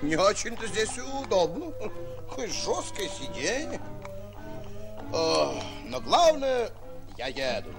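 An actor playing a naive, excitable, pompous would-be hunter, stammering and exclaiming in a 1940s theatrical radio comedy. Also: male voice, high voice, slow pace, creaky, over music, heavily acted. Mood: self-satisfied.

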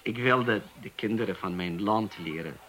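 An actor playing an earnest young Turkish waiter who speaks haltingly, with many hesitations, in a radio play. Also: male voice, fairly low pitch, a bit bright, a little hiss or hum, natural voice. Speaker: Turkish waiter in a police interview.

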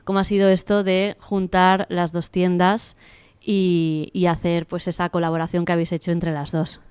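A woman interviewing for a local radio station and asking brief, soft questions. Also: lowish voice, little pitch movement, moderate pace, clean tone, dry recording.

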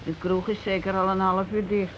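A muffled old radio play recording with some noise carries the voice of a shaken housewife, played by an actress. She is working-class and plain-spoken. Her lines come haltingly, with repeats and broken-off starts. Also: mid-range voice, level tone, close to an everyday voice. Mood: subdued, reproachful. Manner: halting, repetitive, falters mid-sentence. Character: shaken working-class housewife circling around grim news. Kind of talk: naturalistic radio play dialogue.

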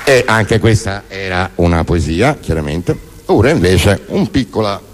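Deadpan man reciting a monologue. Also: low-pitched, measured pace, some chatter behind. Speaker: stage performer reciting poems and prose monologues. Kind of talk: theatrical spoken-word recitation.

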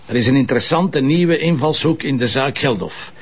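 An actor playing a gruff, impatient police commissioner with a Flemish accent in a radio play, terse and dry. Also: male voice, medium pitch, moderate pace, slight background noise, close to an everyday voice. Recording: dull lo-fi tape.

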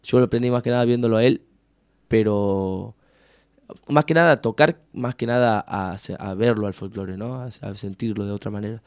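A man's voice: on the low side, moderate pace, dry recording, studio quality. Rambling Argentine man from Tucumán. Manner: rambling, full of fillers and restarts. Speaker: musician guest. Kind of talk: casual radio interview.

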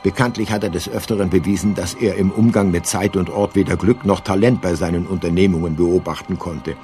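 Male radio-play narrator, lowish voice, deadpan literary irony, measured delivery, faint music underneath. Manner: measured, dryly ironic, literary. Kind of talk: scripted narration for a comic science-fiction radio play.

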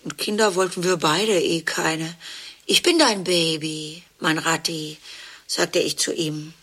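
A woman's voice: sits low, fairly even intonation, slow pace, a bit bright, slightly rough, heavily acted. An actress in a radio play, playing a chic, ironic woman married to a dentist, speaking in a wry, drawling way. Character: chic ironic wife of a dentist, half-sister. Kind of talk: radio play monologue and dialogue.

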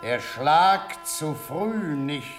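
An actor playing a dutiful officer in a classical radio drama, carefully echoing military orders. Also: male voice, a little high, animated, very slow, soft background music.

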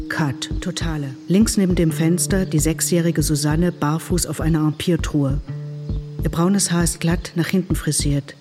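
A woman narrating an audio play, reading scene directions in clipped, descriptive phrases. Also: low-pitched.

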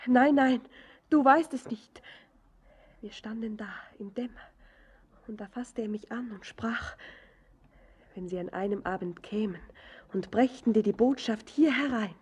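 An anxious young daughter, lovesick and imploring, in a theatrical radio drama. Her voice is very breathy and slightly high, often sinking toward a whisper. She speaks slowly and haltingly, with great fervour. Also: dark tone, dull audio, heavily acted. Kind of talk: theatrical German radio drama acting, verse-like lines.

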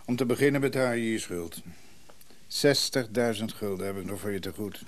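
An actor playing a blunt, exasperated shopkeeper brother in a radio drama, unforgiving and curt. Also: male voice, on the low side, moderate pace, close to an everyday voice. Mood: cold, curt.

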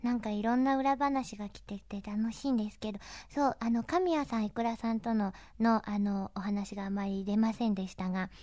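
A woman's voice: mid-range voice, fairly even intonation, brisk, hardly any pauses, warm tone, no room sound. A woman hosting a chatty interview, speaking politely with lots of reactive interjections. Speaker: radio show host interviewing a guest. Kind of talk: chatty interview for an anime fan CD.

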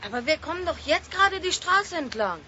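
High-voiced, wary schoolboy. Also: female voice, sing-song, fairly quick, pushed and bright, heavily acted. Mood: puzzled, protesting. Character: wary, honest schoolboy, hesitant sidekick. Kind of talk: children's radio play acting. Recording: dull, faintly noisy 1970s radio recording.

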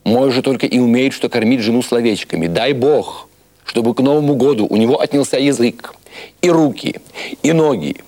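A man's voice: mid-range voice, normal speed, darkish tone, pitched above the performer's own voice, natural voice. A man voicing a poor joker's scolding, embittered wife in a storybook reading, with reproachful, emphatic nagging. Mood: bitter cursing.

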